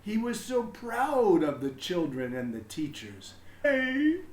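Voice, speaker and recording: A man reading a children's story aloud as expressive narration, with deliberate, dramatic phrasing. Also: on the high side, roomy sound. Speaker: storybook reader.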